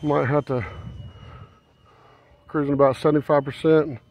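A man's voice: steady tone, normal speed, lo-fi sound. A man giving a casual hands-on review and commentary as a hobbyist host outdoors at a flying field. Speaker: RC plane hobbyist, vlog host. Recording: noisy outdoor camera audio, engine rumble. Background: outdoor ambience and motor noise.

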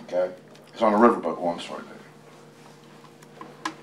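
A man with a fairly low voice reads a storybook aloud in a roomy, echoing space, picked up from a distance. He is casual and folksy, wandering off into chatty asides to his listeners. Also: fairly even intonation, unhurried, fairly bright tone, a little hiss or hum. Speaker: guest storyteller, tribute performer. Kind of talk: reading a picture book aloud with casual ad-libbed commentary. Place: public library reading event. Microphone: handheld microphone. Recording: roomy, echoey, distant microphone pickup.

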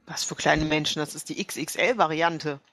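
A woman's voice: low voice, fairly even intonation, normal speed, fairly bright tone, no echo. A woman playing a tabletop roleplaying game, chatting casually in character.

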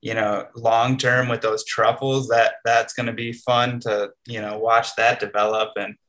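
A man's voice: medium pitch, flat delivery, measured pace, a bit bright. A man in his thirties hosting a casual video call chat about mushroom farming, talking in an easygoing way with lots of fillers. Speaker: mushroom farm host. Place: home room with windows.

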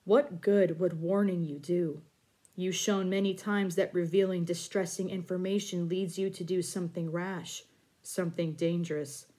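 Quiet low-ish wise spirit voice inside someone's head, calm and soft, lady reading a storybook. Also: moderate pace, close to an everyday voice.

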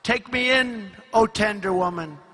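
A man's voice: high-pitched, unhurried, pushed and bright, breathy edge, heavily acted. A man voicing a sly, pleading, vicious snake in a dramatic verse fable, in a reverberant hall.

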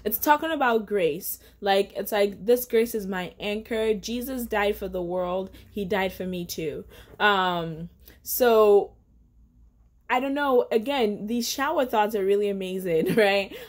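A woman's voice: medium pitch, a little slow. Earnest chatty young woman vlogging. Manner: chatty and earnest, many fillers and self-corrections. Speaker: faith vlogger. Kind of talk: casual devotional vlog. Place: indoor living room at home.

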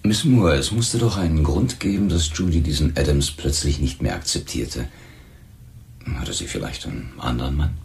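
An actor playing a calm, probing police lieutenant in a crime radio drama, questioning in a measured, matter-of-fact way. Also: male voice, very deep voice, vocal fry, full character voice.

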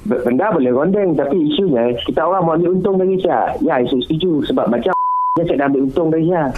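A man's voice: on the high side, fast talker, background noise. A Malaysian man talking casually and bluntly over a phone call, explaining insistently and mixing in English words. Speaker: YouTuber and workshop trainer. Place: on a phone call, broadcast on radio. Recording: muffled narrow phone line from radio.

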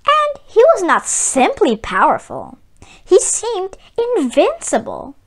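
A woman reading a children's picture book aloud, with expressive, deliberate phrasing. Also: very high-pitched, vocal fry. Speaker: storyteller and read-aloud channel host.

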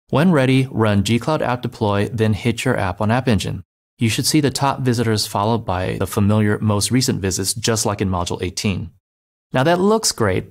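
A man giving a scripted, step-by-step narration of a software migration tutorial, speaking clearly and steadily as a voice-over. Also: fairly low pitch. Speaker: developer advocate. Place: voice-over over screen recordings.

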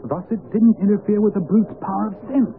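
Radio mystery: admiring male sidekick-narrator, expressive mid voice, muffled broadcast with faint hiss. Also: high voice, fairly quick. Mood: curious, admiring. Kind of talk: radio drama acting with narration. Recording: dull, slightly noisy old radio broadcast.

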